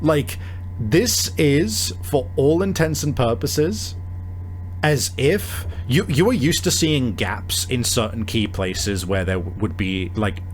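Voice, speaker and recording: A man improvising detailed narration as game master of a tabletop game, in a halting, searching way. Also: mid-range voice, lively intonation, slight background noise.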